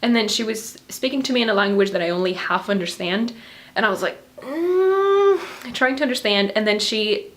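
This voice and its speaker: A woman's voice: medium pitch, lively intonation, normal speed, fairly bright tone. A young woman from Norway chatting casually to a handheld camera, telling a story with frequent giggles. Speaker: vlogger. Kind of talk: casual vlog chat to camera. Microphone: handheld camera at arm's length.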